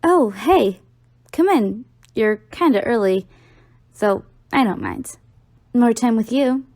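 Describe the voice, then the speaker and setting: A woman's voice: fairly high pitch, animated, takes it slowly, darkish tone, dry recording, clean studio sound. A young woman playing an affectionate, teasing college-age girlfriend in an audio roleplay, talking playfully to the listener.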